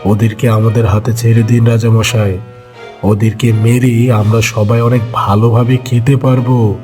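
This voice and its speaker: A man's voice: medium pitch, flat delivery, moderate pace, warm tone, soft background music, voice pushed down, close to an everyday voice. A man voicing a hungry, blustering tiger in a cartoon story, complaining and pleading. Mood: indignant. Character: male tiger, hungry predator, fox's blustering uncle.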